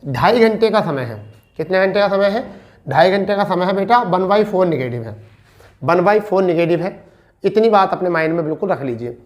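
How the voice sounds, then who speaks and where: A man's voice: sits high, animated, a little fast. A man teaching an informal online class, addressing students in a reassuring, instructive way and repeating key points for emphasis. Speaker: teacher giving exam updates to students.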